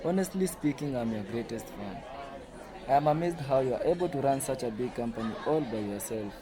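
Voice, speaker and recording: A man's voice: mid-range voice, lively intonation, fairly quick, a crowd in the background, natural voice. A man playing an earnest, smitten employee in an amateur radio drama. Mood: friendly, admiring. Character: earnest smitten male employee comforting his boss.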